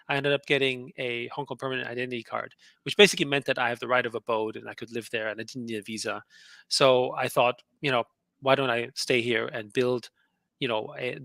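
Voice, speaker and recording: Fast chatty man with a gravelly edge. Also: medium pitch, sharp tone, no room sound. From Austria. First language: German. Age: middle-aged. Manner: chatty, laughs often, many fillers and restarts. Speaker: guest entrepreneur. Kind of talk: casual interview storytelling.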